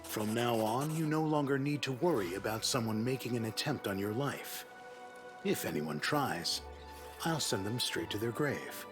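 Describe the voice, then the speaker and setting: A man voicing a businesslike dwarf assassin in a fantasy game, measured, formal and matter-of-fact. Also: mid-range voice, animated, over music, close to an everyday voice. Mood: grim, businesslike. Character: businesslike, grim male dwarf assassin for hire.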